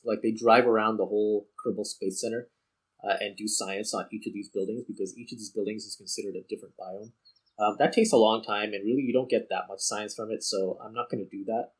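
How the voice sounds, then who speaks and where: A man with a fairly low, husky voice hosts a gaming video. He talks casually over his own play, rambling and thinking aloud as he works through each choice. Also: poor audio. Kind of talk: casual let's play commentary.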